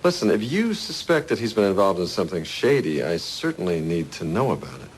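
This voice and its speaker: An entitled, slick male executive in an audio crime drama. His low voice swings expressively as he smoothly and condescendingly dodges questions. Faint ambient noise sits behind him. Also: sing-song, a little fast, low-quality recording. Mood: smooth, evasive. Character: slick, entitled rich owner of a security firm. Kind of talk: radio crime drama acting.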